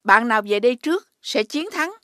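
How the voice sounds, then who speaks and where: Female schoolteacher, cartoon dub, higher pitch, instructive, measured, caring, Southern Vietnamese accent. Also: expressive, pushed and bright, dry recording, studio quality. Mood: announcing. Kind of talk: children's animated dubbing. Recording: music behind the voice.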